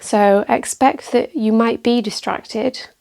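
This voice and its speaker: Slow, creaky-voiced woman guiding meditation. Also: fairly high pitch. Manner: calm, gentle, measured with pauses. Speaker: meditation teacher. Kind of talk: guided meditation, calm instruction.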